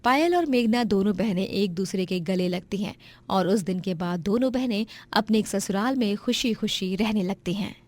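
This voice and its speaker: A woman's voice: medium pitch, normal speed, darkish tone. A woman narrating a scripted moral story.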